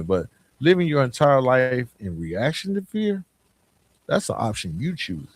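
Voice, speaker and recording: An American man hosting a podcast, delivering an informal motivational monologue that addresses the listener directly with rhetorical questions. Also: mid-range voice. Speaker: podcast host.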